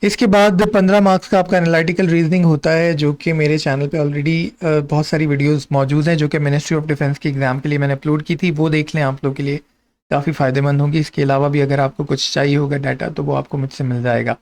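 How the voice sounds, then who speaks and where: Higher-pitched Pakistani man explaining. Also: flat delivery, brisk, darkish tone. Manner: instructive, mixes in many English terms. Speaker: host of an exam and job guidance channel. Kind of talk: informal explainer, walking through on-screen details.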